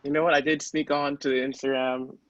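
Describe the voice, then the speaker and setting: A man's voice: medium pitch, level tone, a little fast, a bit bright, no room sound. A man in loose, informal casual chat on a podcast. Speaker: podcast cast member. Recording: muffled, dull lo-fi podcast audio.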